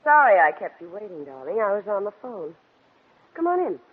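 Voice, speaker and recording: Actress as a lively breezy woman, old radio. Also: mid-range voice, brisk, a little hiss or hum, lightly acted. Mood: cool, confident. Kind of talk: 1940s radio drama acting. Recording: tinny vintage 1940s radio recording.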